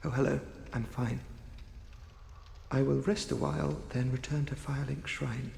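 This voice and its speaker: A man's voice: medium pitch, little pitch movement, normal speed, gravelly, darkish tone, a little hiss or hum. An actor playing a polite, earnest male sorcerer in a video game, speaking in formal, courteous words. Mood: grateful, courteous. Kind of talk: video game character dialogue.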